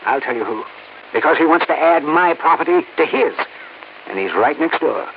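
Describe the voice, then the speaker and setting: An actor playing a worried, folksy middle-aged chicken farmer in an old radio drama. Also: male voice, fairly high pitch, animated, moderate pace, gravelly. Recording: tinny old radio broadcast with noise.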